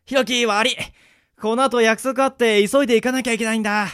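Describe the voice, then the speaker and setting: A man's voice: extremely high pitch, steady tone, fast talker, a bit bright, clear, resonant tone, dry recording, studio quality. A man playing a laid-back, blunt young coworker and friend in a radio drama, talking casually and in slang. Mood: casual, hurried. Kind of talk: amateur radio drama acting.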